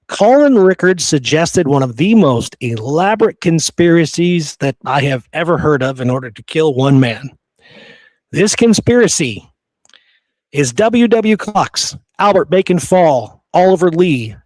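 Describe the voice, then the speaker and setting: A man with a fairly high voice hosts a history show in a very dry, close room sound. He works through researched notes as if chatting, slipping in casual, amused asides. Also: darkish tone, no echo. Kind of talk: conversational storytelling from researched notes.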